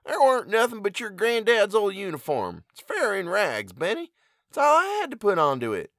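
A man voicing a worried rural farm mother who speaks in rough country dialect. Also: high-pitched, wildly swooping pitch, normal speed, a bit bright, no echo, voice pushed up, heavily acted. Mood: anxious pleading. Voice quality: rustic dialect, pleading.

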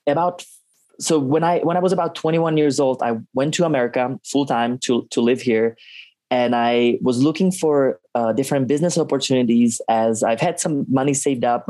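Czech-accented man, halting casual talk. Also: mid-range voice, mellow. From Czech Republic. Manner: halting, many fillers and restarts, laughs often. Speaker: guest. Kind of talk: casual podcast interview.

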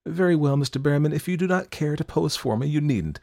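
A man's voice: fairly quick, gravelly, darkish tone, no room sound, clean studio sound. A man doing an anxious young woman artist. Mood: indignant. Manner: pleading, tender, raised pitch.

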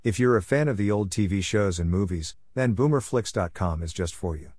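A man's voice: on the low side, animated, fairly quick, a little mellow, no room sound. A man hosting and introducing an old radio show episode in a friendly, scripted way. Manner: friendly, reading plainly. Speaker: podcast host.

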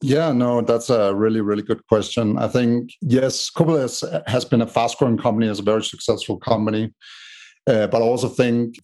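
A man from Denmark with a Danish accent, a startup co-founder answering interview questions in a measured way with many "uh" fillers. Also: mid-range voice, fairly even intonation, darkish tone. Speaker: podcast guest, startup co-founder.

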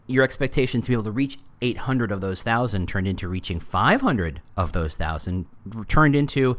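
A middle-aged American man giving a casual explanatory monologue in an animated, rambling way, full of wry asides. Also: sits low.

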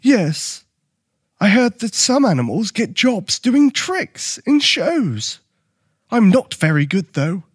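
Storybook elephant, acted by a man: mild-mannered, sorrowful, hesitant, with a raspy voice and unhurried delivery. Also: very animated, no echo, clean studio sound, full character voice. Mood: gloomy, embarrassed. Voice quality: deep, husky. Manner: slow, hesitant, polite. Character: gentle, apologetic, gloomy male elephant. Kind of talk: children's storybook character voice.

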